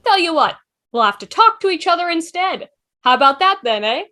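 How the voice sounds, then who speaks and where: A woman's voice: very high-pitched, sing-song, a little fast, sharp tone, pitched above the performer's own voice, exaggerated voice. A woman playing a breezy, curious space traveller with an upbeat, chatty manner in a sci-fi table read. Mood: cheerful, curious.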